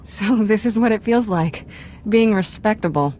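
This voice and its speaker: Game heroine, female actor: young Jedi apprentice, medium pitch, spirited, wry and informal. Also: normal speed, darkish tone, a little hiss or hum, natural voice. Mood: wry, relieved. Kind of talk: video game acting.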